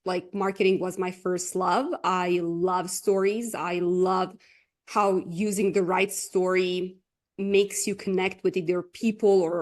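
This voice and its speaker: A woman from Croatia, speaking English, chatting as a guest coach on a podcast with lots of fillers and frequent laughter. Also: medium pitch. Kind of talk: casual interview chat.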